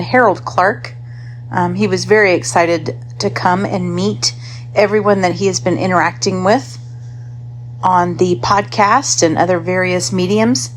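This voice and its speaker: Female guest contributor, lower-than-average register, informal spoken report, light noise behind. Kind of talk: informal recorded testimonial.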